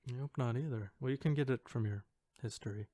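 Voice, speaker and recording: A man's voice: medium pitch, fast, warm tone, no echo. A man co-hosting a podcast, chatting casually and reading posts aloud in a dry, hesitant, skeptical way. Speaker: podcast co-host.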